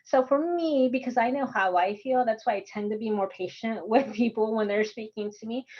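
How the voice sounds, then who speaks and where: A woman's voice: a little high, darkish tone. A woman with a Texan accent leading an online workshop in a chatty, friendly way, laughing often. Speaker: course instructor. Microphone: video call. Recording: dull video call audio.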